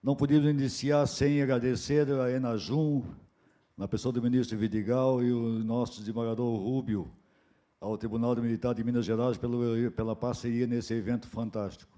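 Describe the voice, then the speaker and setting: A hoarse man from southern Brazil opening a conference as moderator, relaxed and joking at his own expense. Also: medium pitch, normal speed. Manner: relaxed, joking, self-deprecating.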